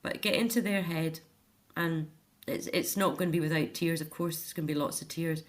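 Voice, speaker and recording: A middle-aged Scottish woman with a slightly low voice talks as an interview guest. She is chatty and earnest, moving through her thoughts in an informal, anecdotal way. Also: fairly even intonation, normal speed. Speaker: interview guest, author and care home activities volunteer or worker. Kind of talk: informal radio interview chat.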